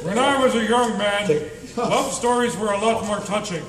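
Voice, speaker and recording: A man's voice: high voice, normal speed, natural voice. A man in a comic radio play acting a grumbling, querulous old man who has suddenly aged. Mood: wistful. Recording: echoey, distant mic, noisy crowd.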